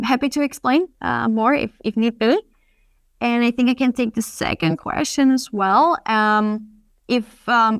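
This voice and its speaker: Woman from customer success fielding webinar questions, reassuring, lots of ums, smooth voice, dry close sound. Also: on the high side, no room sound. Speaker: customer success team lead. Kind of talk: webinar Q&A answers.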